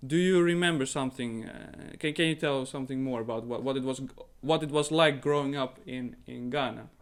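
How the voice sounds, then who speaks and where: A man's voice: medium pitch, fairly quick, clear, resonant tone. A man hosts a casual live-streamed interview. He chats easily and backs up his guest with short agreeing words. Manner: friendly, affirming with short yeahs. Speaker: host.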